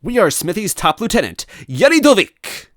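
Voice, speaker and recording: A narrator doing a smug, high-pitched villain lieutenant. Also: very animated, moderate pace, a bit bright, no echo, studio quality. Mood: boastful. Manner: gloating, menacing, boastful. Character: smug, theatrical villain lieutenant disguised as a toad. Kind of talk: acted storybook villain dialogue.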